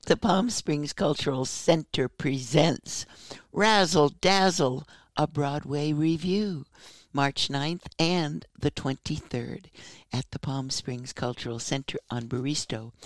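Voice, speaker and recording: Slow, playful woman host. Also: deep voice, dry recording. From United States. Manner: playful, with jokey asides. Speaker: talk show host. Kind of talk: announcements and interview.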